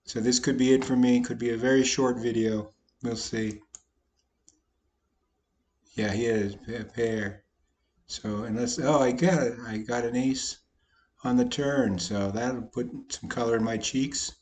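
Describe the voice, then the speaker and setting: Slightly hoarse guy at his computer playing online poker, folksy and joking at himself, mid pitched. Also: steady tone, unhurried, a little mellow. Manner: folksy, self-deprecating, talks through each hand. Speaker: poker vlogger. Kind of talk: casual commentary over online poker play.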